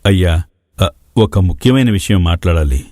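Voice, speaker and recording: A man's voice: low voice, animated, moderate pace, darkish tone, no room sound, clean studio sound. A man playing an anxious, evasive older village farmer father, hesitant and stammering. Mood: anxious confession.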